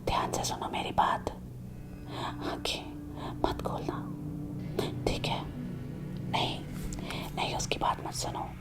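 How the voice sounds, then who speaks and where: A woman's voice: takes it slowly, pauses often, lightly acted. A woman whispering as a centuries-old vampire girlfriend. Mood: urgent, protective. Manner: hushed, urgent, intimate. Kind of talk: ASMR roleplay whispering. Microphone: close binaural mic.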